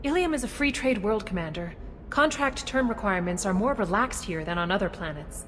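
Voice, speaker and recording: A woman voicing a courteous female alien city guide in a video game, speaking with polite, formal and measured official courtesy. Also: mid-range voice, expressive, a bit bright, natural voice. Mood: courteous, informative. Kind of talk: scripted video game dialogue. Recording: long reverberant hall, some background noise.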